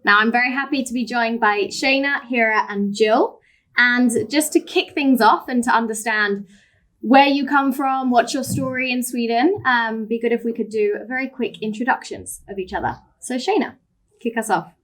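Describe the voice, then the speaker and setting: A woman's voice: on the high side, moderate pace, a bit bright. A woman from the UK moderating a panel discussion, friendly and encouraging, with follow-up questions for each guest.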